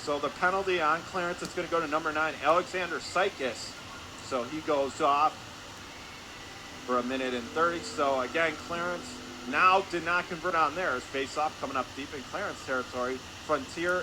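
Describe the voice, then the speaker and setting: Guy doing radio hockey play by play, highish voice, clipped calls, loud arena noise behind him. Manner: clipped, rapid-fire phrases following the action. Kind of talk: radio sports play-by-play commentary. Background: noisy indoor ice rink.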